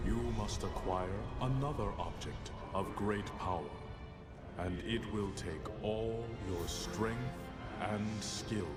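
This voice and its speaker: An actor playing a solemn, all-seeing cosmic alien in a superhero game, portentous and deliberate, with long dramatic pauses. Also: male voice, fairly low pitch. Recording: echoing reverberant voice, loud music.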